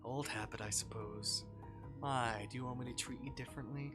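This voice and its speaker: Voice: mid-range voice, fairly even intonation, moderate pace, music in the background, lightly acted. Streamer voicing a dry, teasing young man. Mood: calm, reserved. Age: young adult. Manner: dryly sarcastic, politely formal teasing. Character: teasing, dry-witted young man, former college classmate, now model.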